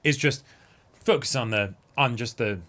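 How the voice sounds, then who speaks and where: A man's voice: medium pitch, moderate pace, fairly bright tone. A man co-hosting a reaction show, discussing an anime episode in a casual, conversational style.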